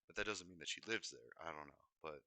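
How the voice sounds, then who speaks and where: A man's voice: a bass voice, fast talker, pushed and bright, no room sound. A man livestreaming in a rambling, unscripted way, often hesitating, digressing and correcting himself mid-thought. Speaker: livestream host.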